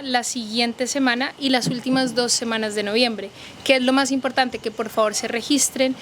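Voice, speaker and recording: A Colombian-accented woman veterinarian speaks to the public with earnest, direct appeals. Her voice sits fairly high. Steady background noise from the surroundings runs under her words. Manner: earnest, persuasive, addresses listeners directly. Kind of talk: news interview, public appeal.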